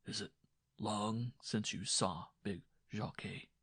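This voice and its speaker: A man's voice: on the low side, fairly even intonation, takes it slowly, fairly bright tone, slightly rough, dry recording, clean studio sound, heavily acted. A man voicing a sullen, brooding peasant husband in an audiobook story, muttering and curt. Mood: cold, accusing. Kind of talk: dramatized audiobook dialogue.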